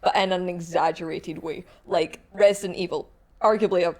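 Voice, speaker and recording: A woman's voice: on the low side, steady tone, moderate pace. A woman co-hosting a podcast in casual panel chat with fellow arcade staff. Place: arcade shop, indoors.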